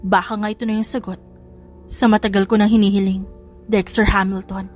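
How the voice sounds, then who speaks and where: A woman's voice: medium pitch, little pitch movement, fairly quick, close to an everyday voice. A woman voicing a determined, intrigued young female reporter with a scheming streak in an audiobook drama. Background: music.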